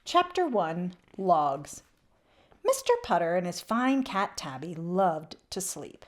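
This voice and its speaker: Woman, storybook read-aloud, very lively intonation, expressive, emphatic swells and hushed drops. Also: lowish voice, slow pace. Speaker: children's read-aloud channel host.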